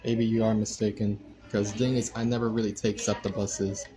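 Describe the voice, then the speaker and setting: A man in his twenties chatting casually on a live stream, soft-spoken and hesitant, with many fillers. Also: lowish voice, level tone, brisk. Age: 20s. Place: indoor room by a window.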